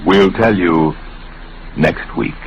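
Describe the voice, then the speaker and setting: A man narrating an old radio drama as host, dramatic and portentous, with deliberate pauses. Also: deep voice, lo-fi sound. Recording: old radio broadcast with music.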